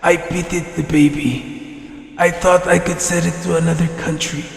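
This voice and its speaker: A man's voice: on the high side, very slow, mellow. A man playing a frightened, reluctant old shepherd in a readers' theatre tragedy, answering in a subdued, hesitant way. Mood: sorrowful. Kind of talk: school readers' theatre performance of Greek tragedy. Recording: echoey hall, faint distant voice.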